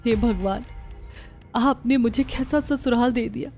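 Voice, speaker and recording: Actress as young cartoon daughter-in-law, sweet, grateful, emotional, high voice, slightly dull audio. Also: female voice, a little slow, gravelly, soft background music. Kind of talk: cartoon story dialogue.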